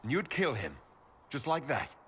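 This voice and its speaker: A bright-voiced, earnest fantasy hero. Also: male voice, mid-range voice, sing-song, moderate pace, slight background noise. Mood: alarmed, firm. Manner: earnest, direct. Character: earnest, idealistic male hero of fantasy game. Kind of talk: video game voice acting.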